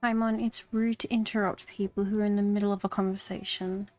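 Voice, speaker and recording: A woman's voice: medium pitch, little pitch movement, fairly quick, a little mellow, dry recording, soft background music. A woman livestreaming a video game, casually commenting and reading dialogue aloud. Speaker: game streamer.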